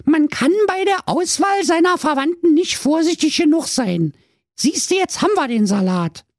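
A man's voice: very high voice, expressive, moderate pace, no echo, studio quality, pitched above the performer's own voice. A man voicing a tearful, lamenting chubby teenage girl with a Berlin accent in a comic fairy tale. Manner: whining, lamenting.

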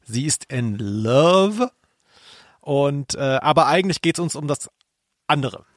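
A man's voice: fairly high pitch, animated, slow pace, dry recording, clean studio sound. A man co-hosting a podcast, chatting in a casual, analytical style. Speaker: podcast co-host.

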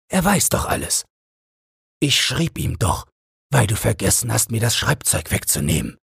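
A man's voice: on the low side, gravelly, fairly bright tone, husky, no room sound, clean studio sound, pitched above the performer's own voice, exaggerated voice. A man voicing a vengeful, mocking old father in an audiobook, taunting and declamatory as he condemns. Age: older. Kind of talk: dramatic audiobook character reading.

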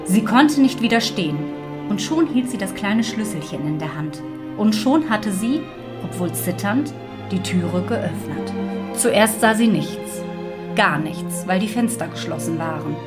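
A woman narrates a scripted fairy tale in a medium-pitched voice. Her phrasing is that of an expressive storyteller. Music is clearly heard behind her. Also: measured pace.

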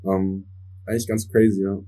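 A young man in his twenties speaking with a fairly low, mellow voice. His first language is English, and he talks loosely with youth slang, English words and plenty of fillers. He answers a podcast interviewer in an easygoing way. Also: little pitch movement, normal speed. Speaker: podcast guest, musician. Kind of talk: casual interview chat.

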